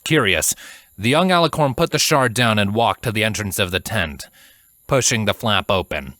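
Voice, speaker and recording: A man reading scripted fantasy fiction as narrator, in a measured, dramatic storytelling manner. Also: fairly low pitch, lively intonation, bright, forceful tone, no echo.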